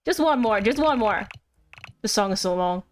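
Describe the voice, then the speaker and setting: A woman's voice: medium pitch, animated, moderate pace. A giggly, playful woman live streamer chatting casually while she plays games. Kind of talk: casual gaming stream chat. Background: music.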